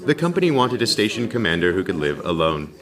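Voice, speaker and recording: A man playing a calm, dutiful artificial intelligence who narrates a science fiction audio drama in a measured, formal and precise way. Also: deep voice, crowd noise. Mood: measured storytelling. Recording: slightly distant, music underneath. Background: music.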